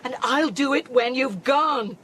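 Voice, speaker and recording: An actress playing a dying, defiant woman scientist in an audio drama, speaking haltingly and in anguish. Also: sits high, fairly bright tone. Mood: weak, defiant.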